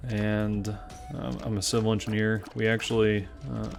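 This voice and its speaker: A young man from Tennessee with a soft Southern accent talks through a video game in a loose, rambling, self-deprecating way. His voice sits fairly low and has a gravelly rasp, and game music plays clearly behind him. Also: flat delivery, normal speed. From Memphis, Tennessee. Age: 20s. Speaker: gaming channel host. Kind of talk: casual gameplay commentary.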